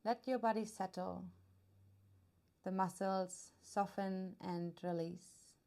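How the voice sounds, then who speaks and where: A woman guiding a meditation as a yoga nidra teacher, soothing and unhurried, leaving long pauses between her phrases. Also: medium pitch, fairly even intonation, gravelly, dark tone. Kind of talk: guided meditation narration.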